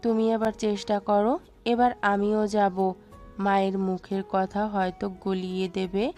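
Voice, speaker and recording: A woman voicing a gentle, caring mother who speaks slowly, with music behind. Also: medium pitch, level tone. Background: soft background music.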